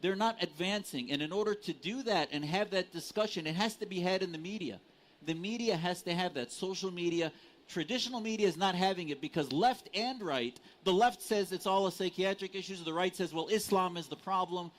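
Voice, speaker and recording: Insistent male panelist, higher-pitched voice. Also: brisk. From United States. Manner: earnest, insistent, formal vocabulary. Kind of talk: live panel debate. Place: conference stage.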